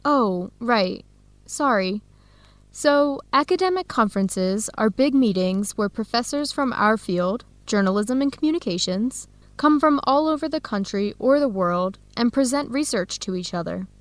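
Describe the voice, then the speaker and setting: A woman's voice: on the high side, lively intonation, measured pace, no echo. A woman with an American accent playing a friendly, encouraging young researcher in an educational radio drama. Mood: explaining, encouraging. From United States.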